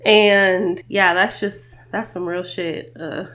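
A woman's voice: mid-range voice, fairly even intonation, slow, fairly bright tone. A woman in her twenties hosting an interview, talking in a loose, chatty way with lots of "like" and easy laughter. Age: 20s. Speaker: podcast host. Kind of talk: casual interview chat.

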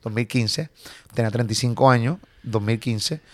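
A man from Puerto Rico in his forties with a Puerto Rican accent, a comedian chatting casually in an interview and telling stories quickly, with some swearing. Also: mid-range voice, no room sound. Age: 40s. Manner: animated, swearing, quick storytelling. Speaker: comedian guest.

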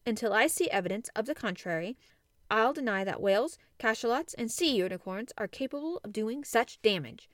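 A woman's voice: on the high side, animated, a little fast, no room sound, clean studio sound, close to an everyday voice. A woman voicing an impish, stubborn male Canadian harpooner in a storybook reading, speaking emphatically.

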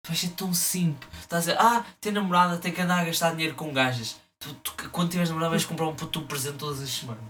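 A young man from Portugal co-hosting a podcast, chatting and joking fast with a friend. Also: on the high side, fairly bright tone, recorded from a distance. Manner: fast, joking, acts out quotes in mock voices. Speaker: podcast co-host. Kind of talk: casual banter between friends.

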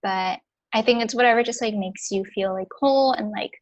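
A young woman speaking as a guest in a casual interview, talking in a reflective, halting way with many fillers. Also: a little high.

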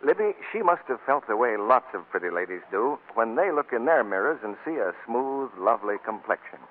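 A man's voice: medium pitch, big pitch swings, fairly quick. A man announcing for a sponsor in a polished, scripted 1940s radio style, on a tinny old recording. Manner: polished sales delivery.

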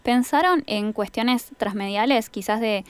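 A woman's voice: a little high, measured pace, smooth, dry recording. An Argentine woman co-hosting a radio show, asking questions and commenting in a conversational style. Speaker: radio co-host.

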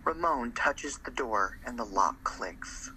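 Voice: medium pitch, expressive, slow, noisy background. A narrator reading scripted scene descriptions for an amateur radio drama.

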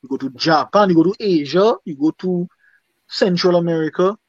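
A man's voice: sits high, fairly quick, dry recording. Man, Dominican accent, casual interview guest, repeats himself, muffled lo-fi sound. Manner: repetitive, often asks "you understand?". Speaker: singer guest.

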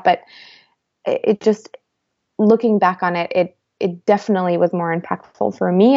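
A woman's voice: fairly low pitch, a little mellow. Hesitant, reflective woman, lo-fi sound. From United States. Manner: thoughtful, hesitant, many fillers and restarts. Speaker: interview guest. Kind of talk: reflective conversational interview.

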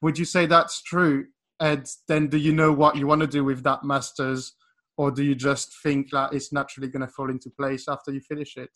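A man's voice: mid-range voice, level tone, fairly quick, a bit bright. A man interviewing a guest, asking long, friendly, conversational questions.

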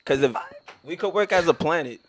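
A man's voice: fairly high pitch, lively intonation, brisk, a little hiss or hum. Laid-back young man from New York. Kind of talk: casual chat.

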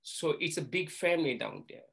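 An older man from Hawaii, reminiscing as an interview guest in plain, halting, repetitive phrasing. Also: on the high side, a bit bright. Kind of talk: storytelling reminiscence.

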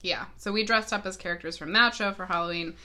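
Bright-voiced young woman chatting casually. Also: mid-range voice, fast talker. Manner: chatty, laughs easily, trailing asides. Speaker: podcast co-host. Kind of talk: casual chat.

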